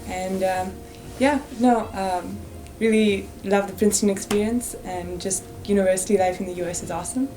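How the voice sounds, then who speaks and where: A woman's voice: medium pitch, fairly even intonation, normal speed, warm tone, faint music behind, low-quality recording. Frank young woman from India. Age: young adult. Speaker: student guest. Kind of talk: casual interview answers.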